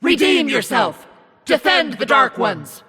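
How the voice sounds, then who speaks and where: A man voicing a menacing, scornful demonic narrator in a video game, commanding and mocking in a theatrical, raspy delivery. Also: very high voice, very animated, takes it slowly, full character voice. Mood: angry, commanding. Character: demonic evil narrator, menacing, scornful, imperious servant of darkness. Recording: long reverb over music.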